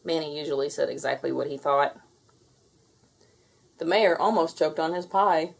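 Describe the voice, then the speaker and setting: A woman reading a children's story aloud with expressive, theatrical delivery. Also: low-pitched, moderate pace, vocal fry. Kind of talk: animated scripted read-aloud narration.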